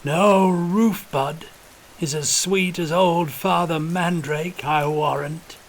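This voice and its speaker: A man's voice: fairly high pitch, steady tone, takes it slowly, voice pushed up. A man voicing a calculating, fanatical old regiment officer, wheezy and intoning like a preacher, in an audiobook. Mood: reverent, hungry. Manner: intoning, preacherly, rustic archaic turns of speech.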